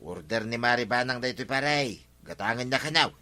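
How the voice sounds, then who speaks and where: A man's voice: medium pitch, animated, fairly quick, sharp tone. A man playing a grumbling village woodseller in a comedy radio drama, exclaiming and complaining with an Ilocano accent. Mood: exasperated. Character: grumbling working village man who sells firewood.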